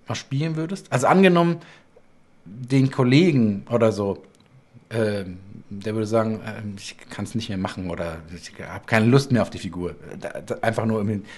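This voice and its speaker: Man, forties, mid pitch, chatty host, rambling restarts, webcam call. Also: darkish tone. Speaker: podcast host. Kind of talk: casual video-call interview. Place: bright home room. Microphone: video call, webcam close-up.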